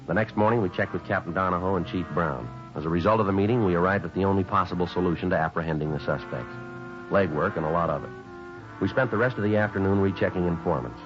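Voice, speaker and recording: A man's voice: sits low, fast talker, music in the background, close to an everyday voice. An American man acting a stoic police detective in a 1950s radio drama, speaking and narrating in a terse, matter-of-fact way. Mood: flat, factual. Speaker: narrator and lead actor. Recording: dull vintage 1950s radio recording.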